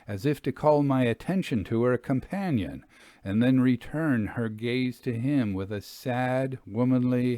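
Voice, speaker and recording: A man reading a classic ghost story as narrator, in a solemn, deliberate and dramatic literary style. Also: medium pitch, darkish tone, dry recording, clean studio sound.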